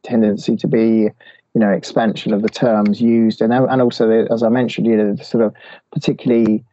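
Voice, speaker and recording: A man's voice: fairly low pitch, level tone, a little fast, mellow, dry recording, lo-fi sound. A man answering interview questions, hesitant, with many restarts and fillers. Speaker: guest expert.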